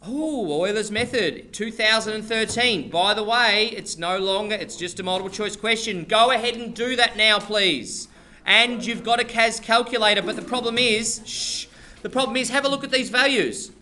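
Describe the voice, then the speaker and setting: A man's voice: sits high, normal speed, few pauses, bright, forceful tone, slight background noise. A male maths teacher giving a classroom lesson, chatty and playful, rambling with restarts and joking asides.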